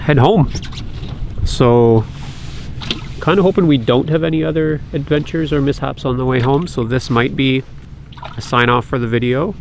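A man's voice: mid-range voice, normal speed, pauses often. A middle-aged Canadian man narrating a casual travel vlog outdoors, holding the camera at arm's length and chatting in an easygoing way. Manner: easygoing, chatty, laughs easily. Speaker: vlogger. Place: outdoors, on a lake and by a roadside. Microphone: handheld camera at arm's length. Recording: outdoor camera audio with clear background noise.

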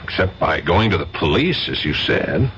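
An actor with an American accent playing a tough, wisecracking, wary federal detective in a 1970s radio drama. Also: male voice, low voice, big pitch swings, moderate pace, fairly bright tone. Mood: casual, probing. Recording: muffled, hissy 1970s radio recording.

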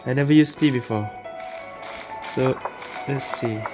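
Low, slow-talking woman gaming. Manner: musing aloud, questioning. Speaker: gaming streamer. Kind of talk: casual gameplay commentary. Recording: game music and effects behind.

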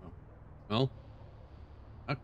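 An older man streaming a strategy game from his home, talking to himself in quiet, low-key asides. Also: extremely slow, noisy background. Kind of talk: casual let's play commentary. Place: home room, seated in a dark chair.

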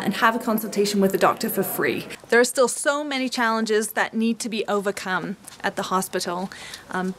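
A woman's voice: medium pitch, a little hiss or hum. A young woman filming herself at arm's length on a trip, talking with bubbly enthusiasm about what her team is seeing. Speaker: team member on a learning trip. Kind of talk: travel vlog selfie narration. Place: outdoors at a rural mission and indoors in hospital rooms. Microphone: handheld camera at arm's length.

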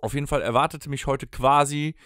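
A man's voice: medium pitch, steady tone, brisk, a bit bright, no room sound, clean studio sound. A man co-hosting a podcast, telling stories in casual banter. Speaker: podcast co-host.

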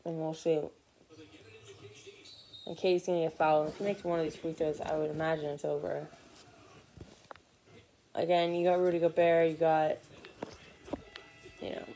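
A man's voice: on the high side, level tone, moderate pace, pauses often, dark tone, slight background noise. Teenage boy rambling over a live game. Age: teens. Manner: rambling, many fillers and restarts. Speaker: sports vlogger. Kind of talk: casual live game commentary. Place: living room couch, indoors. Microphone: phone or laptop camera from below.

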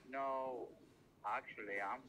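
A man's voice: medium pitch, steady tone, takes it slowly, bright, forceful tone, slight background noise, rough recording. A man from Morocco chatting on a call, shy and hesitant with many fillers. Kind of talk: casual phone chat.

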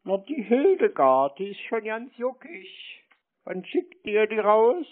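A man's voice: high voice, big pitch swings, exaggerated voice. A man playing a naive, eager, rambling provincial German fellow on a prank phone call. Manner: naive, rambling, feigned slowness. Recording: narrow, tinny phone-like sound.

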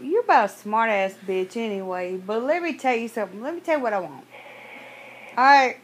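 A high-pitched woman plays prank caller with brash, confrontational insistence. She interrupts and swears freely. The home-made recording carries faint noise. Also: expressive, a little fast. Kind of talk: prank phone call, confrontational banter.

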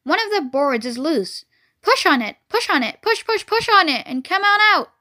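A woman voicing a fast-talking female goose who repeats her words three times. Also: very high voice, big pitch swings, a bit bright, voice pushed up, full character voice. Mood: urging.

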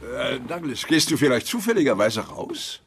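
A man's voice: medium pitch, very animated, normal speed, fairly bright tone, a little hiss or hum. An actor playing a cranky, needy elderly father in a dubbed sitcom, grumbling theatrically. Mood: querulous, wheedling. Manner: theatrical, put-upon grumbling. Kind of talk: German-dubbed sitcom acting.

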